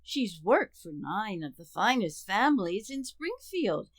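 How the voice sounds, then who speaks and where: A woman playing a patient, gently wry wife who soothes and placates in an amateur radio sitcom. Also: medium pitch, big pitch swings, no room sound. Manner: soothing, measured.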